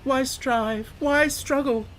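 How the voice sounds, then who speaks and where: A reader voicing a boastful, reckless male toad in a storybook, pitched well above their own voice and full of gleeful bragging. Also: lively intonation, moderate pace, a little hiss or hum, natural voice, low-quality recording. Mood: brooding. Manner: gleeful boasting, shouting triumphantly. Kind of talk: storybook reading.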